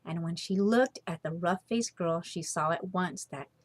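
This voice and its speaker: A woman reading a children's story aloud as storyteller, expressive and dramatic with deliberate phrasing. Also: on the low side, dry recording.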